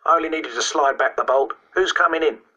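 A man's voice: fairly high pitch, brisk, bright, forceful tone, voice pushed up. A man voicing an earnest, boastful schoolboy in a storybook, insistent and dignified as he tells spooky tales. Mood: triumphant, eager.

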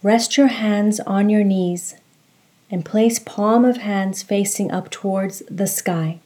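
A woman leading a guided meditation, giving calm, measured instructions and reciting. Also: medium pitch.

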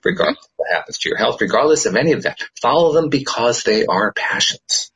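A man's voice: medium pitch, big pitch swings, brisk, fairly bright tone, breathy edge, low-quality recording. A middle-aged man, a podcast host, talking earnestly and reflectively in a casual conversation.

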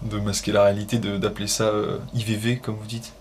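Male interviewer, lowish voice, halting questions, faint background noise. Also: monotone, darkish tone.

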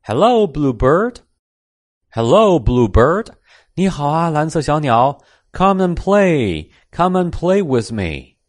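A man's voice: a little high, very animated, slow pace, voice pushed up. A man voicing a playful, impatient little kitten in a children's storybook, pleading and repeating simple phrases. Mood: eager.

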